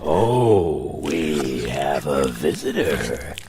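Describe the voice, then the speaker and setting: Creaky, sadistic villain. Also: male voice, very deep voice, big pitch swings, drawn-out words, noisy background, exaggerated voice. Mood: creepy relish. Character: sadistic, gloating male mad surgeon villain.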